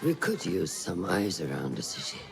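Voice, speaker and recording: A man's voice: deep voice, wildly swooping pitch, normal speed, gravelly, over music, exaggerated voice. An actor playing a cold, commanding, secretive male agent in a video game. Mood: cold, curt. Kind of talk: cinematic video game acting.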